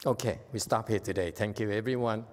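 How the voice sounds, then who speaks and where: A man's voice: on the low side, brisk, big-room sound. Blunt teasing man with a Vietnamese accent. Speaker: Buddhist teacher. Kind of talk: dharma lecture. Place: temple lecture hall.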